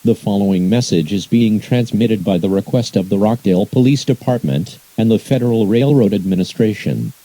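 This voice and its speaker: A man's voice: fairly low pitch, brisk, hardly any pauses, warm tone, rough recording. A man reading scripted emergency alerts as an announcer, in a formal, impersonal, synthetic-sounding delivery.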